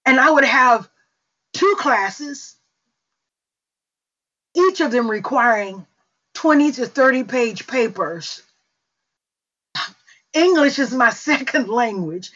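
Middle-aged Southern woman, Mississippi accent, slow testimony pace, slightly high voice, muffled call audio. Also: animated, fairly bright tone. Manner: slow, unhurried storytelling with fillers. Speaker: prayer call host.

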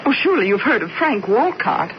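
A woman's voice: fairly high pitch, big pitch swings, a little fast. An actress playing an anxious, gluttonous rich wife who stammers and flutters through her lines, in a 1970s radio drama. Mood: pleading. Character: anxious, gluttonous wealthy wife, adult woman. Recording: dull old radio broadcast, faint hiss.

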